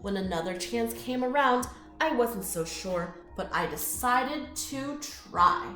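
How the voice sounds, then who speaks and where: A woman reading a picture book aloud to children in an expressive, inviting way, with friendly chatty asides. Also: on the high side, slow pace, over music. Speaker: children's storytime reader. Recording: roomy echo.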